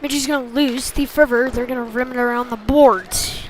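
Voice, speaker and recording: A woman calling a youth hockey game live in short, clipped phrases, getting excitable on big plays. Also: on the high side, moderate pace, slight background noise. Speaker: play-by-play hockey commentator. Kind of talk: live sports commentary.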